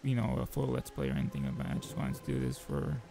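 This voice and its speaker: A man with a gravelly voice reading a visual novel aloud as a game player, mixing narration with informal joking asides. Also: extremely low pitch, big pitch swings, quick pace. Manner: informal, joking commentary between read passages.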